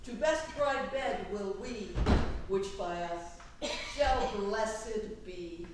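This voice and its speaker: A woman's voice: medium pitch, animated, very slow. A woman playing a regal fairy monarch in an amateur staged Shakespeare reading, giving rhymed verse like an incantation. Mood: solemn blessing. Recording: echoey room, far mic, clear noise.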